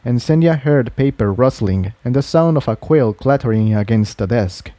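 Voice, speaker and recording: A man's voice: medium pitch, mellow, no echo. A man reading scripted fantasy fiction as an audiobook narrator.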